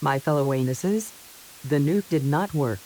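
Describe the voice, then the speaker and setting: A woman's voice: deep voice, measured pace, poor audio. A woman playing a solemn leader who gives a grave, declarative scripted address to a nation in crisis. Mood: grim resignation. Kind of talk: scripted mock emergency address. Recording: faint steady hiss.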